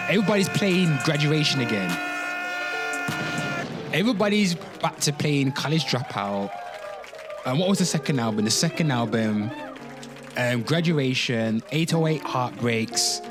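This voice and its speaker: A man hosting a commentary show, mixing dramatic reading with informal, sweary ranting, drawing words out theatrically and addressing listeners directly. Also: mid-range voice. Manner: theatrical, drawn-out words and exclamations. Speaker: host of a pop culture commentary show.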